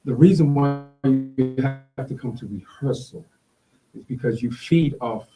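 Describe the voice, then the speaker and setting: A man's voice: medium pitch, unhurried, mellow, soft background music, low-quality recording. A man co-hosting a talk show in a casual, conversational style. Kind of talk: casual chat.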